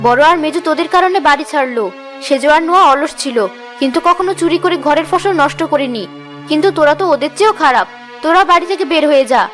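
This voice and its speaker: A stern cartoon mother of grown sons acted by a woman, speaking in a high voice. She talks fast and bluntly, with a scolding, sarcastic edge. Music plays behind her. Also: natural voice. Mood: bitter, angry. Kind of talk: Bengali cartoon fairy tale acting.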